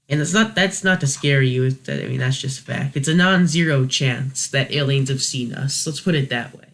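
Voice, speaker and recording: A man's voice: medium pitch, a bit bright. A man hosting a review video with casual, unscripted commentary, hesitant and thinking aloud as he corrects himself. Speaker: host of a video review series.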